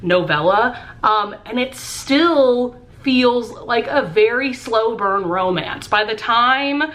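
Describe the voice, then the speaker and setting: A woman's voice: on the high side, lively intonation, slow, a bit bright. A young adult woman chatting casually about books while doing her makeup at home, upbeat and full of "um" and "like". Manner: chatty and upbeat, many fillers like "um" and "like". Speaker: book vlogger. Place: room at home lit by a lamp.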